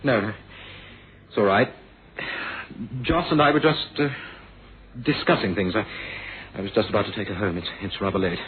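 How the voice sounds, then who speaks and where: An actor playing an earnest, wounded young man in a suspense radio drama, speaking haltingly and repeating words. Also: fairly low pitch, animated, natural voice. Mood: awkward. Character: troubled, wounded young man with violent past. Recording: noisy phone-like vintage radio recording.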